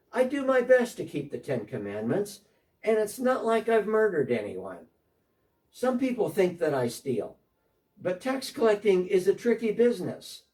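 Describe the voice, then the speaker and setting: A man's voice: sits high, darkish tone, distant mic. A man playing a defensive, talkative tax collector in a church dramatic reading, speaking one side of a phone call.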